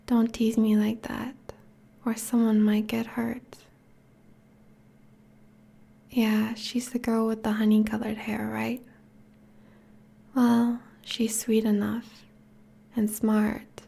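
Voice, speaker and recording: A woman playing a possessive, teasing yet tender vampire girlfriend in an intimate, whispery ASMR roleplay, speaking softly and coaxingly. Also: fairly high pitch, full character voice. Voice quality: breathy, whispery. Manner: slow, soft, coaxing.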